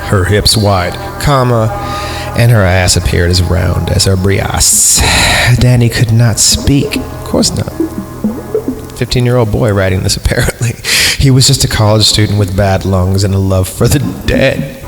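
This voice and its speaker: Gravelly low guy doing a sarcastic read of a creepy story, music going in the back. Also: unhurried. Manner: sarcastic, interrupts the reading with jokes. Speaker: podcast host. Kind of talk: mocking reading of a horror story. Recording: music playing behind.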